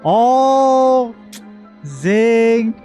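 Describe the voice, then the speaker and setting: A man streaming casual game reaction commentary, expressive and joking, talking back to the characters. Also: very high voice, drawn-out words. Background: game music.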